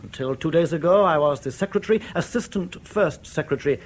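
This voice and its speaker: A man's voice: fairly high pitch, animated, a little hiss or hum, lightly acted. An actor in a radio drama playing an anxious, proud East German official in his thirties who has defected, speaking formally and carefully. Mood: earnest, grave. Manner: formal, careful, precise diction with hesitant pauses.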